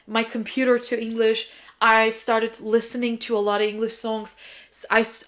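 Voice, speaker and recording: A young woman from Turkey, a native Turkish speaker, talking casually and emphatically to viewers as an English teacher hosting a vlog. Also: fairly high pitch. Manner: chatty, emphatic, self-described yapper. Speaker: English teacher and video host. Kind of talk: casual vlog chat, answering viewer questions.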